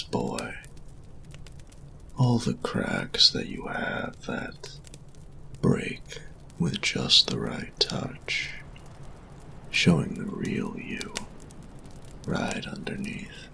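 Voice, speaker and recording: Man acting very deep, gravelly, cocky flirty bully. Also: wildly swooping pitch, pushed and bright, in a whisper, full character voice. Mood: smug taunting. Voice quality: breathy, gravelly low voice. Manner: slow smug drawl, taunting. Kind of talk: intimate roleplay audio acting.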